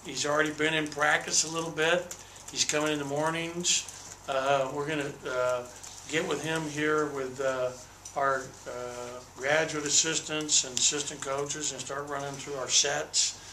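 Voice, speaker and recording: A man's voice: fairly high pitch, little pitch movement, a little slow, noisy background. A man in his sixties, a basketball head coach, giving candid, detailed postgame answers at a table microphone in a small press room. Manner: candid, detailed, repeats words when restarting. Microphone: table microphone on a desk. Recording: roomy echo.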